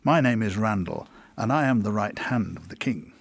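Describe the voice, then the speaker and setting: An actor playing a sly, wry royal advisor who narrates a fantasy audio drama in a measured, courtly, sardonic way. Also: male voice, lowish voice, expressive, gravelly, husky, full character voice. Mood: wry narrating. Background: music.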